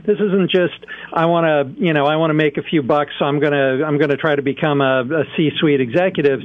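Middle-aged dad on a phone line, tinny and dry, fairly high voice, thinks out loud calmly. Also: male voice. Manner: measured, thoughtful, with some restarts. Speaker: parent guest on a call-in show. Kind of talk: reflective conversational talk. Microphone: telephone.

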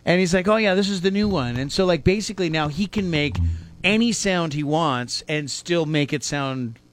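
A podcast host chatting casually, telling an animated story and laughing often. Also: mid-range voice, normal speed, a little hiss or hum. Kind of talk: casual chat.

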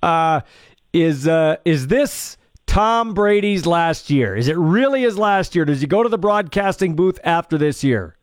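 A man's voice: a little high, lively intonation, unhurried, no echo, studio quality. A man hosting a radio sports show, talking in an easygoing, casual way. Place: radio studio.

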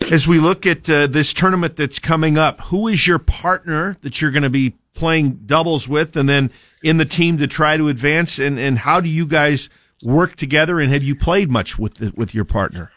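A male interviewer asking direct questions in quick succession. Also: fairly high pitch, a bit bright, no room sound, clean studio sound.

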